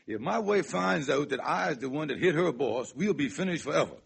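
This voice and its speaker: A man playing a scheming, henpecked husband in old-time radio comedy, with a raspy voice and excitable, wheedling, folksy ungrammatical speech. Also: on the high side, brisk, full character voice. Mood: pleading. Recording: vintage radio broadcast recording.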